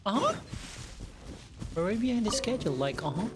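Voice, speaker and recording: A man's voice: high-pitched, big pitch swings, fairly quick. A man streaming a game with casual playthrough commentary, muttering to himself and repeating words. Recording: game music clearly behind the voice. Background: video game music.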